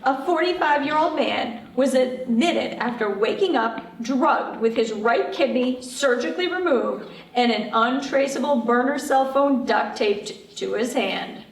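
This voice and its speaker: A woman's voice: high voice, animated, measured pace, hardly any pauses. A woman playing an earnest television news reporter delivering a live bulletin at a table read. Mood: earnest, grave. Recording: roomy, distant mic, faint noise.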